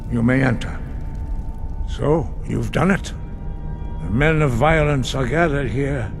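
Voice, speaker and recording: An actor playing a weary, disapproving elderly monk elder, solemn and measured, in a fantasy game. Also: male voice, mid-range voice, creaky. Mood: grave, weary. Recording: prominent game music behind.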